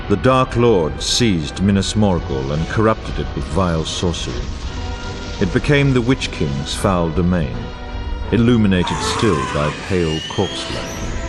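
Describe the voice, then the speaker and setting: An actor playing a solemn, grave war chronicler, narrating a fantasy game in a grandiose, formal and portentous way. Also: male voice, deep voice. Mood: solemn, foreboding. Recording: orchestral music bed under voice. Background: music.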